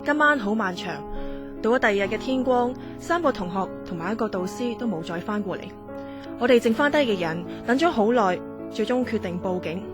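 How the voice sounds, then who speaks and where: A woman's voice: a little high, animated, brisk. A woman with a Hong Kong Cantonese accent giving scripted first-person narration for a radio horror drama. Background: loud music.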